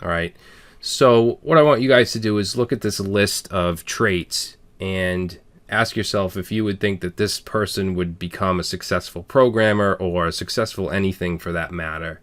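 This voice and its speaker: A man's voice: deep voice, normal speed, fairly bright tone. A man in his thirties with a Boston accent, hosting a programming channel and talking candidly to viewers in a plain, earnest way with frequent fillers. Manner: earnest, plain-spoken, frequent "all right" and "um". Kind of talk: candid personal talk to viewers.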